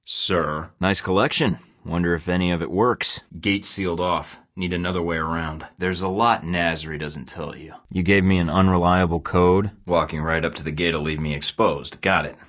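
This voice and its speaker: Deep-voiced sarcastic spy guy for a game, dry clipped field chatter, clean booth sound. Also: steady tone, normal speed, hardly any pauses, a bit bright. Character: wry male secret agent, cool under pressure. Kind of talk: video game voice lines.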